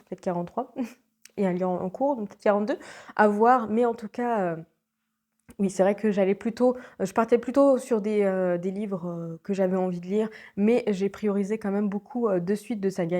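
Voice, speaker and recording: A young French woman talks to camera from her home with a congested voice from a cold. Her tone is mellow and dark rather than bright, and she rambles cheerfully, with frequent fillers and little laughs. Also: mid-range voice. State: stuffy nose from a cold. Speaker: book vlogger. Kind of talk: casual chat to camera. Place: at home, indoors.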